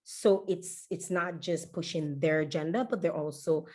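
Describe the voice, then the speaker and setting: A woman from Dominica, telling stories in an animated, conversational way as an interview guest. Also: deep voice, normal speed. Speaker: podcast guest.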